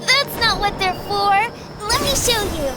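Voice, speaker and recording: A woman playing a gentle, wistful little girl in a video game, speaking softly and sincerely with some hesitation. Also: very high voice, measured pace. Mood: eager.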